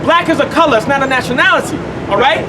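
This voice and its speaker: High-voiced man teaching on a noisy street. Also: fast, crowd noise. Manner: repeats words, checks in with "okay?" and "all right?". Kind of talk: informal scripture teaching. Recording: loud traffic noise outdoors.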